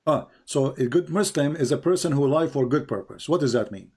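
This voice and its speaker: A man's voice: fairly high pitch, expressive, fairly quick, darkish tone, breathy edge. A man hosting a live call-in debate, pressing a caller with repeated pointed questions. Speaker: show host.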